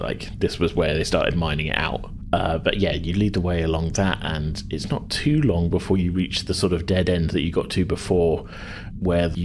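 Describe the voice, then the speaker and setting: A man's voice: low voice, noisy background. A man working as game master, narrating a tabletop sci-fi adventure in a hesitant, conversational, descriptive way.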